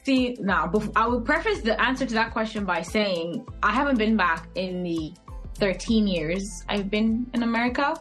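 A woman in her thirties with a Cameroonian English accent talks as a podcast guest. She tells stories expressively and fills pauses with small hesitations. Faint music sits under her voice. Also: mid-range voice. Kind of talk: podcast interview, casual chat.

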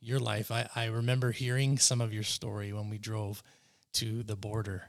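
A man hosting a podcast who asks friendly, curious questions in a relaxed conversational interview. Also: fairly low pitch, moderate pace. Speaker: podcast host.

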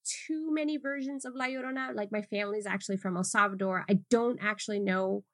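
A woman's voice: mid-range voice, fairly quick, fairly bright tone, no room sound, clean studio sound. A woman hosting a podcast, talking casually with fillers and laughing easily. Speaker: podcast host.

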